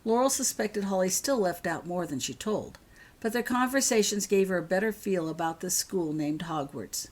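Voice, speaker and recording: A woman's voice: fairly low pitch, expressive, normal speed. A woman reading her own story as scripted audiobook narration.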